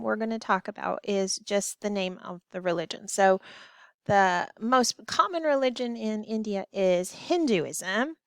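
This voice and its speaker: Female elementary teacher, recorded lesson for children, slow and simple directions, dry close recording. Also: medium pitch, clean tone, no room sound. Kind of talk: recorded classroom lesson, step-by-step instruction.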